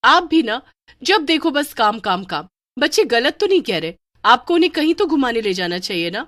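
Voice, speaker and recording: Woman as an exasperated, high-voiced mother. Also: sing-song, normal speed, fairly bright tone, dry recording, studio quality. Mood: angry. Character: exasperated, outspoken mother of two children. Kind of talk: animated Hindi story acting.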